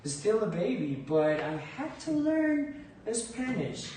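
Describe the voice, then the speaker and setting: A man in his thirties from Ecuador, a teacher answering interview questions in a relaxed, hesitant way, often repeating words as he thinks. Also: sits high, slow. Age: 30s. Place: school library with bookshelves, indoors. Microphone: far camera across a desk. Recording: echoey room from a distance, faint noise.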